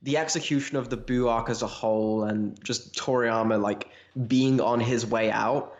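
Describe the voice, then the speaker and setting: A man with a middle-pitched voice is heard in an echoey, roomy recording. He chats casually as a podcast co-host, feeling his way toward each point in roundabout phrases. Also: steady tone, normal speed, dull audio. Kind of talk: casual fan discussion.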